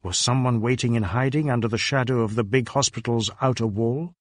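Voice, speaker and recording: Guy narrating a crime audiobook, measured and dramatic, mid pitch, dry recording. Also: dry recording. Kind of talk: scripted narration of a crime novel.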